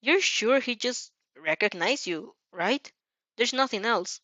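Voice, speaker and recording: A woman's voice: on the high side, lively intonation, takes it slowly, bright, forceful tone, no room sound, pitched above the performer's own voice, full character voice. A woman reads as an earnest teenage boy student in a fan fiction story. He sounds doubtful and concerned for his friend. The sound is dry, slightly muffled. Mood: worried. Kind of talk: amateur fan fiction character reading.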